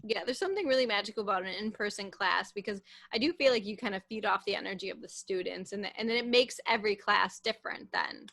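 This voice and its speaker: A woman's voice: medium pitch, fast, vocal fry, hardly any pauses, a bit bright, no room sound. A woman hosting a casual video call interview, friendly and affirming as she asks her guest questions. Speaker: interview host.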